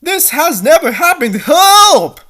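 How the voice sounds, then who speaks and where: Reader playing a young cartoon princess: high, swooping, indignant and pleading, full of melodrama. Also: sing-song, takes it slowly, pushed and bright, heavily acted. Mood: distraught.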